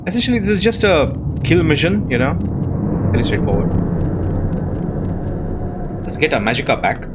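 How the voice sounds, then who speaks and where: A man giving casual, low-key gameplay commentary, musing aloud as he plays. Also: very animated, normal speed, heavy background noise. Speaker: gaming video host. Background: game music.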